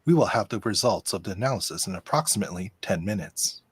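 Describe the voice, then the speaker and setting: Low, husky, precise android officer played by a man. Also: expressive, fast. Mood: matter-of-fact. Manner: formal, precise. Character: polite, emotionless, precise male android officer.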